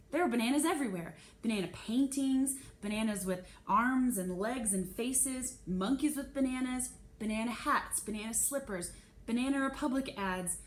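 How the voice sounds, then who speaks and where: A woman reading a children's novel aloud as a first-person storyteller, dramatizing the young narrator's feelings expressively. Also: medium pitch. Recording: echoey room with faint hiss.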